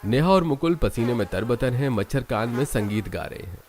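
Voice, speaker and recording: A man's voice: mid-range voice, expressive, fairly quick, darkish tone. A man narrating a scripted cartoon story.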